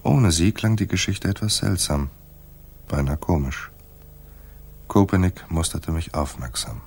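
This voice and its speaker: An actor playing a wry, tough private detective in a hard-boiled radio play, husky and laconic, in dialogue and narration. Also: male voice, deep voice, lively intonation, measured pace, a little mellow, heavily acted. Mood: terse, tense. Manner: dry, understated.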